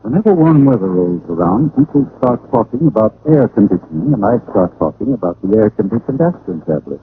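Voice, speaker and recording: A man's voice: fairly low pitch, very animated, fairly quick, noisy background. An American man explaining a product like a salesman in a 1940s radio advertisement. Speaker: commercial pitchman. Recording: tinny vintage radio recording.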